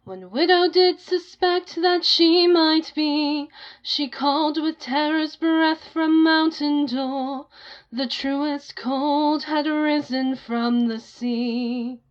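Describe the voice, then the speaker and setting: A woman's voice: high voice, fairly even intonation, takes it slowly, soft background music. A woman performing a solemn, mournful lament in lyrical verse, with muffled sound.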